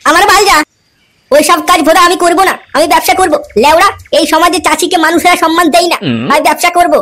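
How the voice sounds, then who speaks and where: Woman as angry young cartoon husband, foul-mouthed, boastful, bright piercing tone, rapid delivery. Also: very high-pitched, fairly even intonation. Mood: defiant. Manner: scolding, crude, combative. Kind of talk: amateur cartoon comedy dialogue.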